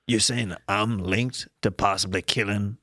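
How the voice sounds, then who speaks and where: A man playing a bemused veteran roadie who acts as a deadpan, mock-shocked straight man in an improvised comedy bit. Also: lowish voice, measured pace, dry recording, studio quality. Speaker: podcast host.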